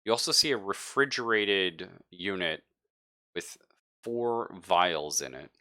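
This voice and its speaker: A man's voice: low voice, expressive, slow, bright, forceful tone, no room sound, studio quality. A man narrating a tabletop roleplaying game as game master, casual and joking, with some swearing. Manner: casual, profane, joking asides.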